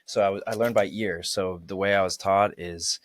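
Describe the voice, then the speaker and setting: A young man in his twenties from Maine, a guest talking about himself in a relaxed, friendly way. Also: sits low, normal speed. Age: 20s. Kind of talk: casual interview. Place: small studio. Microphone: studio mic on arm.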